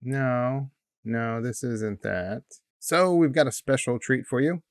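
A man's voice: mid-range voice, takes it slowly, dry recording. A man in his forties, a playful, folksy host giving a casual introduction close on a studio mic. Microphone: large studio mic close in front.

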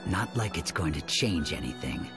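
A cold, bitter male villain with a lowish voice and wide, scornful swings in pitch. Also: expressive, normal speed. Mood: dismissive. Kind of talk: dubbed anime game acting. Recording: loud music under the voice.

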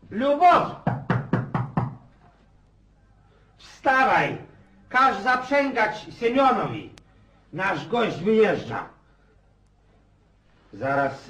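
An actor playing a garrulous, restless old Russian country landowner in a radio drama, rambling in a folksy way. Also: male voice, sits high, animated, very slow, gravelly, pauses often, a bit bright, husky, heavily acted. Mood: hospitable, bustling. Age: older. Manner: rambling, folksy, muses aloud.

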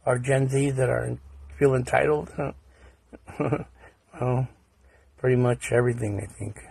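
Male casual narrator, hoarse and gravelly, halting delivery with rhetorical questions, dry close sound. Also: medium pitch, fairly even intonation, darkish tone, no echo. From United States. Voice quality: raspy. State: hoarse after a recent stomach virus. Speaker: video narrator. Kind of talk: casual rambling commentary.

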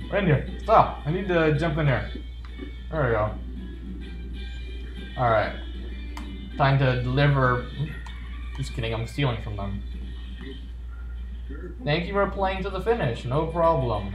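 A man riffs playfully through a let's play, reading on-screen text aloud and cracking jokes. His unhurried voice sits in a roomy, echoing space, with faint game music running underneath. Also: medium pitch. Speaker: gamer.

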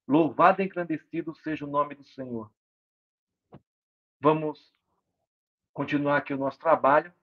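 Man preaching over a muffled video call. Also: a little high. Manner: earnest, preaching cadence with repeated phrases. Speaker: lay preacher. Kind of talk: short devotional message on a video call. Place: home room with large windows. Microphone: laptop webcam mic on a video call. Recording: dull lo-fi video call audio.

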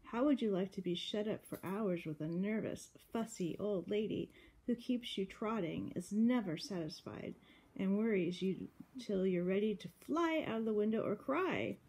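A woman's voice: mid-range voice, animated, moderate pace, darkish tone, slightly rough. A woman reading the part of a bold, tomboyish teenage sister from a storybook, blunt and emphatic. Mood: emphatic, exasperated. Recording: noisy home recording with clicks.

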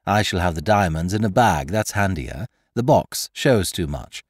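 A man voicing a mocking gentleman thief. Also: deep voice, lively intonation, breathy edge, dry recording, studio quality. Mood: scheming. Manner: brisk, mocking, triumphant taunting. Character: cocky gentleman thief, adult man, gleeful schemer. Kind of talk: audiobook character voice.

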